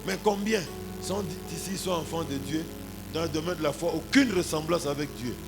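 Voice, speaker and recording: High-voiced Congolese pastor preaching over music. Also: male voice, moderate pace. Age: 40s. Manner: punctuates points with exclamations and rhetorical questions. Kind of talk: animated sermon with anecdotes and congregational address.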